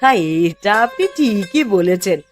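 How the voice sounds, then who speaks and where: A woman playing a polite, deferential married village neighbour in a moral-story cartoon, with an expressive delivery. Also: mid-range voice, measured pace, soft background music, lightly acted. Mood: agreeable.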